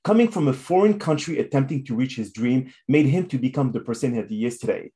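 A man's voice: mid-range voice, animated, quick pace, mellow. A man hosting an interview podcast in a formal, effusive and motivational manner. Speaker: podcast host.

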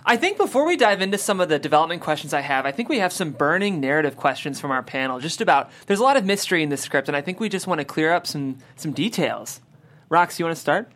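A man's voice: fairly high pitch, expressive, fast talker, a bit bright. A man hosting a script read and narrating stage directions.

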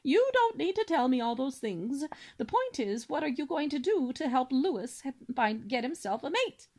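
A woman's voice: high voice, sing-song, moderate pace, darkish tone, voice pushed up. A woman doing a practical mother swan. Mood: brisk. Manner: dry, matter-of-fact.

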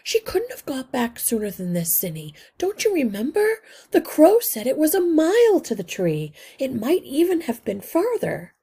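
A woman's voice: high-pitched, huge pitch swings, normal speed, hardly any pauses, warm tone, breathy edge, voice pushed up, heavily acted. A woman voicing a sensible older mouse sister in a children's storybook, reassuring and matter-of-fact. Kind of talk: children's storybook read-aloud.

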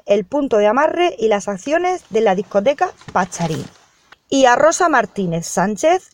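A woman from Spain playing a formal, businesslike lawyer in a radio soap, speaking in a measured way. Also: on the high side, a little mellow, no room sound. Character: formal, businesslike female lawyer reading a will. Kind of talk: amateur radio soap acting.

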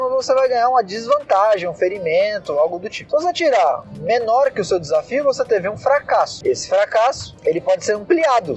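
High-voiced Brazilian man explaining casually. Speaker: tabletop RPG designer presenting his game system.

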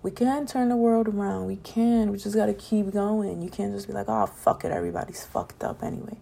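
A young woman hosting a solo podcast late at night, chatting casually and rambling about staying positive, her voice getting raspy. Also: mid-range voice, animated, brisk, mellow. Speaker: podcast host.

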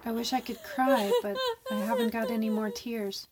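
Woman acting guilty young roommate in a spooky radio play, highish voice, dramatic and emphatic. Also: very animated, normal speed, heavy background noise. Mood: anguished guilt. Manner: theatrical, emphatic, repeats phrases. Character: guilt-ridden young woman, defiant, self-blaming roommate.

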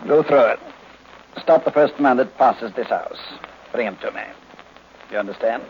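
An actor playing a grumpy, conceited, sedentary genius detective in old radio drama, curt and dismissive. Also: male voice, mid-range voice, normal speed, close to an everyday voice. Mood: commanding. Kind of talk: 1940s radio acting. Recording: muffled old radio recording, faint noise.

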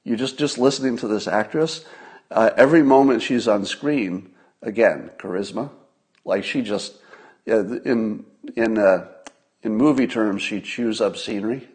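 A man hosting a solo livestream, giving casual political commentary in a conversational, emphatic manner with pauses for effect. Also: fairly low pitch, a little mellow, slightly rough.

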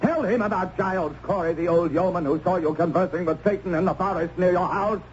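A man's voice: high voice, fairly quick, lightly acted. An actor playing a cruel, menacing nobleman in an old-time radio drama, imperious and theatrical. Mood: menacing, gloating. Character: cruel, menacing nobleman persecuting an accused witch. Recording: dull, noisy old radio recording.

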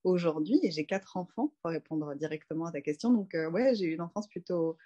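A woman in her thirties from France talks through her life in a relaxed, reflective way. Her voice sits on the low side, and she often pauses on fillers and restarts her sentences as she thinks. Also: lo-fi sound. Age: 30s. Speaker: doula and interview guest. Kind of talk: casual conversational interview.

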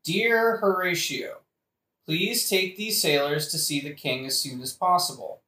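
A man's voice: on the high side, unhurried, bright, forceful tone. A man playing a grumpy, sarcastic young man in a satirical audio play, with an offhand, wry delivery. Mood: wry reading. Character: grumpy sarcastic young man, prince's best friend Horatio. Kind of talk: modern-language satirical audio play acting. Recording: roomy echo.

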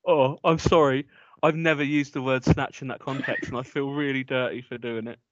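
A young man with a Northern English accent co-hosting a podcast, teasing his friend with quick comebacks. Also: mid-range voice, fairly even intonation, dry recording. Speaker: podcast co-host. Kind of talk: casual banter. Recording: dull lo-fi dry sound.

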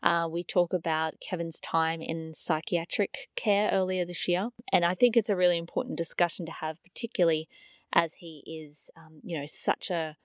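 Brief: woman podcaster, slightly low voice, bit raspy and nasal, earnest and chatty, dry close mic. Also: fairly even intonation, normal speed, no echo. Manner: earnest, chatty with frequent fillers. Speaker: podcast host. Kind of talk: podcast episode introduction.

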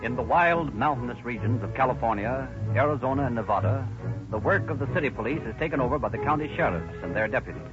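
A man's voice: mid-range voice, expressive, quick pace. A man announcing in formal, emphatic 1930s radio style. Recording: muffled old radio broadcast with music.

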